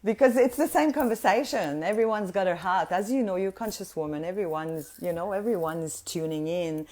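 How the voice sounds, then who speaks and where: French lady gushing through a motivational talk, kinda low voice, keeps restarting her sentences. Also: darkish tone. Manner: gushing and effusive, with frequent restarts. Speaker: guest speaker and mentor. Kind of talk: casual motivational talk to an online audience.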